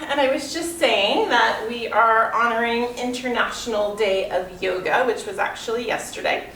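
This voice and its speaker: A woman teaches yoga with calm, drawn-out guidance, her voice slightly nasal from congestion. She is picked up from a distance in an echoey room with a faint ambient hiss underneath. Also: fairly high pitch. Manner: calm, unhurried instruction with soft trailing phrases. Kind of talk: guided yoga class. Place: room with yoga mat, indoors. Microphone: far camera.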